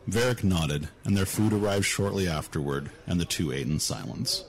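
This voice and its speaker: A man's voice: low voice, very animated, moderate pace. A man narrating a scripted fantasy story as an audiobook narrator.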